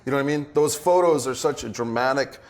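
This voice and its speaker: A man's voice: medium pitch, quick pace, a little mellow. Man directing actors at a rehearsal. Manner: explanatory, encouraging, conversational. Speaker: director and writer leading a table read. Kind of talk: casual rehearsal notes to actors.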